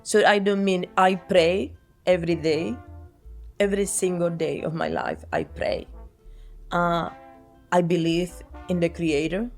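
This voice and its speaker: A woman's voice: fairly low pitch, darkish tone. A woman in her sixties with a Cuban Spanish accent, a Spanish speaker, talking earnestly in an interview and often restarting as she searches for words. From Cuba. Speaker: guest artist. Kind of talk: reflective interview talk.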